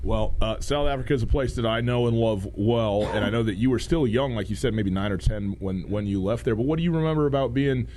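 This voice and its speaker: A man's voice: medium pitch, fairly quick, hardly any pauses, dark tone, slight background noise. A middle-aged man hosting a sports radio interview, asking curious, conversational questions.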